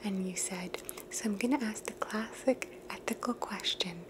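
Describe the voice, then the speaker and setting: A woman hosting an ASMR video, talking in a soft, unvoiced whisper and musing thoughtfully as she answers viewers' questions. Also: mid-range voice, normal speed, poor audio. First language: English. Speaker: ASMR host.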